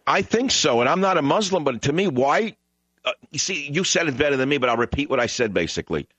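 A man's voice: fairly high pitch, big pitch swings, fast talker, a bit bright, husky, dry recording. A man hosting a call-in radio talk show, emphatic and combative, repeating lines for effect. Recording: dull radio broadcast sound.

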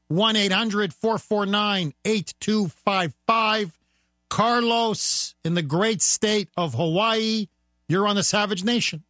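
A man guest-hosting a talk radio show, talking in a forceful, rhetorical way and speeding up as he presses his points. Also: high-pitched, takes it slowly, no room sound. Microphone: studio microphone.

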